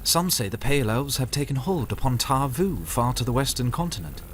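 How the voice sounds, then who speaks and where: A man's voice: medium pitch, big pitch swings, fairly quick, soft background music, natural voice. A man playing an earnest, courteous young swordsman in a fantasy audio story. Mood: curious.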